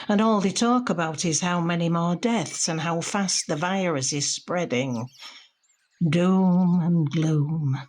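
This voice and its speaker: An actress playing a lonely, anxious woman in her seventies in an intimate audio drama monologue, husky and confiding, often putting questions to herself. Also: lowish voice, darkish tone, full character voice. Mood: wry, weary. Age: 70s. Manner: reflective, confiding, with rhetorical questions. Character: lonely, anxious elderly mother shielding at home in lockdown.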